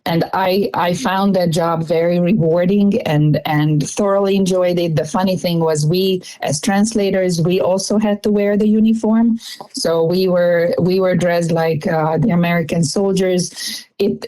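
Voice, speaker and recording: A woman's voice: on the low side, fairly even intonation, a little slow, mellow, poor audio. A middle-aged woman from Hungary with a Hungarian accent, a guest on an interview podcast telling her own story earnestly, with many "uh" fillers and restarts.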